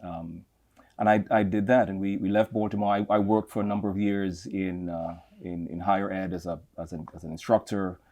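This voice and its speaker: Middle-aged Trinidadian man, low voice. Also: flat delivery, a little mellow. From Trinidad and Tobago. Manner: measured, reflective, with frequent repeated words. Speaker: campus leader, guest. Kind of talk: interview conversation.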